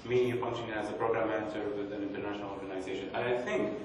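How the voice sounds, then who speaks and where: A man's voice: lowish voice, steady tone, a crowd in the background. Man in an echoey hall. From Kurdistan, northern Iraq. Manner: hesitant, with many pauses and restarts. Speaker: conference speaker. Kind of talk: academic presentation. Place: large conference hall. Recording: echoey hall, distant mic.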